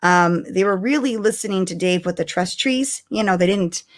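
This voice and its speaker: A middle-aged woman co-hosting a live podcast, chatting casually with an animated, giggly and excitable manner. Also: medium pitch, moderate pace. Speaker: podcast co-host. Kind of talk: casual fan chat on a live stream.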